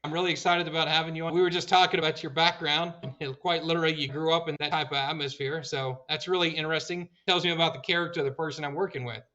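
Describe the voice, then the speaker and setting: A man hosting an insurance podcast, upbeat and encouraging, giving quick reactions and asking open questions. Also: a little high, fairly even intonation, pushed and bright, muffled recording. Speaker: podcast host. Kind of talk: interview hosting.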